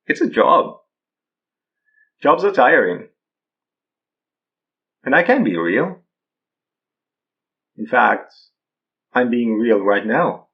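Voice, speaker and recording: A man's voice: medium pitch, sing-song, takes it slowly, long pauses, dull audio. An actor in his thirties playing an easygoing, wry man who poses as a guru in an audio drama. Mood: wry, tender. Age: 30s. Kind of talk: naturalistic audio drama acting.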